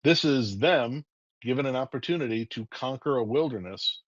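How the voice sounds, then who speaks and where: A man's voice: medium pitch, lively intonation, normal speed, no room sound, muffled recording. A man being interviewed as a guest writer on a podcast, speaking in an articulate, methodical way and often posing rhetorical questions.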